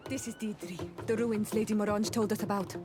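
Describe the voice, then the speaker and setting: A woman's voice: medium pitch, steady tone, fairly quick, over music, lightly acted. Woman playing a direct, reserved islander companion. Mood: observant. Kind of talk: scripted video game dialogue.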